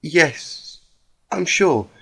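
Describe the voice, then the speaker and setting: A man's voice: fairly high pitch, expressive, extremely slow, vocal fry, a bit bright, husky, voice pushed up, full character voice. A man voicing a timid, tearful but resolute female pegasus in a story reading, faltering as she speaks. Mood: timid resolve.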